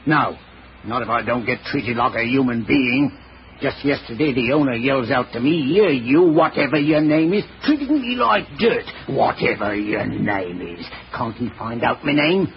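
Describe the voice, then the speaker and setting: Radio play deckhand: insubordinate, sullen, resentful. Dull, noisy old recording. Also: male voice, medium pitch, very animated, normal speed. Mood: resentful, menacing. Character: surly, resentful deckhand, handy with a knife. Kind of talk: 1940s radio detective drama acting.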